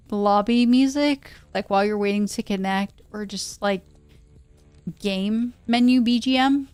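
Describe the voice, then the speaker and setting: Woman streamer, non-native english, slow playful rambly chat, bit of music in the back. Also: medium pitch, clean tone, no room sound. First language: not English. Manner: playful, rambling, breaks into sound imitations. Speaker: livestream host reading pulp science fiction aloud. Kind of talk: casual livestream chat. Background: faint music.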